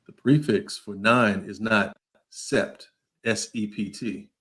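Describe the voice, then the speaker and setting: A man's voice: on the low side, fairly even intonation, slow pace, fairly bright tone. A man from Brooklyn, a podcast co-host, explaining things casually and thoughtfully, building step by step to his point. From Brooklyn, New York.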